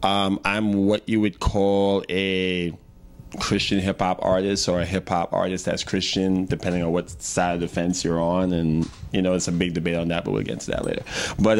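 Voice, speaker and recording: A young man telling his story in a casual interview, rambling with many fillers and restarts. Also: low voice. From Trinidad and Tobago. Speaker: guest, hip-hop artist.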